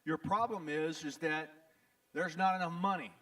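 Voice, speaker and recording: A man's voice: fairly high pitch, steady tone, normal speed, fairly bright tone, far from the mic. A man with a Texas accent, an attorney speaking bluntly and folksily to residents at a town hall, with jokes and a repeated "the bottom line is". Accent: Texan. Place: event venue in front of an audience.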